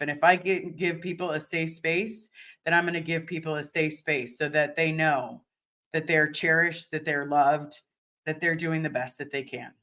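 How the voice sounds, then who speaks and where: Woman, deep voice, a bit hoarse, casual livestream host, rambling, repeats herself. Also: a bit bright. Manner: rambling, repeats words and restarts often. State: slightly hoarse. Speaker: livestream host reading viewer chat. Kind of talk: casual live chat.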